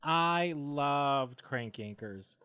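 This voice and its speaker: Animated man hosting a casual podcast. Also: on the high side, extremely slow, smooth, no echo, studio quality. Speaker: podcast host running a guessing game. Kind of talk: casual comedic banter.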